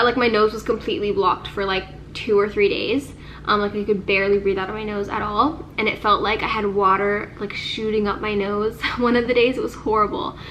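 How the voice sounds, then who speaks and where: A woman's voice: medium pitch, a bit bright, slight background noise. A young woman chatting casually to camera, her voice nasal and congested from illness, filling her talk with "like.". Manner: chatty, sprinkles speech with "like". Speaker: vlogger.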